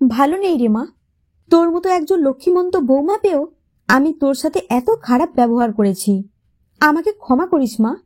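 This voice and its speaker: A repentant older mother-in-law acted by a woman narrator pours out her apology in an audio storybook. The voice is high and mellow, motherly and full of feeling, with an expressive rise and fall. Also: normal speed. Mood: remorseful. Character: remorseful older mother-in-law, softened and affectionate.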